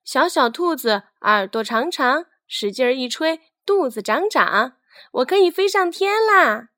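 A cute little girl balloon , played by a woman, longs to fly in a children's storybook. The voice is high and eager, with an excited sing-song delivery. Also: measured pace, no echo, clean studio sound. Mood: elated, chanting.

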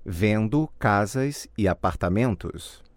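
Slow, lively-pitched man reciting drill sentences. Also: no room sound. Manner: careful, deliberate enunciation. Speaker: language course model speaker. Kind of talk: scripted model answers in a language drill.

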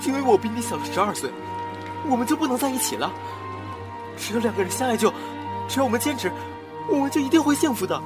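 A man's voice: very high voice, animated, fast talker, music in the background. A man playing an earnest, clinging twenty-year-old in love, pleading with passion in a melodramatic audio drama. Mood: desperate, pleading. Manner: pleading, impassioned. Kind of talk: Chinese amateur audio drama, melodramatic acting.

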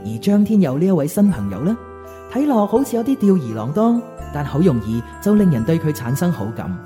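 A man's voice: on the high side, big pitch swings, fairly quick, warm tone, soft background music. A man narrating a radio drama as a scripted storyteller.

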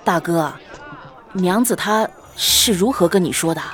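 Casting: young husband for costume radio play, female actor, lowish voice, courteous, calm and tender. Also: unhurried, some chatter behind, lightly acted. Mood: courteous, composed. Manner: composed, polite. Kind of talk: Chinese costume audio drama acting.

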